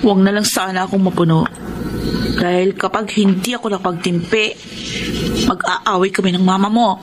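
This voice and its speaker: A woman's voice: mid-range voice, fairly even intonation, normal speed, natural voice. Actress as frank, plaintive young girlfriend. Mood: aggrieved. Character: discontented young live-in girlfriend, fond but near her limit. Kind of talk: Tagalog radio drama acting. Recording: noisy radio drama track.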